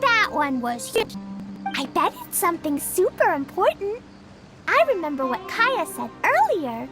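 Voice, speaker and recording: Very high-pitched, bubbly little girl adventurer. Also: unhurried, over music, full character voice. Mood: thoughtful. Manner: childlike, excitable, wide-eyed. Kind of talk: animated video game voice acting.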